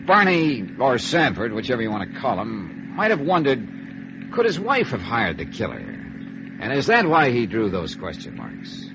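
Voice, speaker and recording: An actor playing a hard-boiled, dry, probing American insurance investigator in 1950s radio noir, clipped and wry. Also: male voice, medium pitch, huge pitch swings, measured pace, noisy background. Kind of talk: 1950s radio noir acting with first-person narration. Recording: dull vintage radio recording with music.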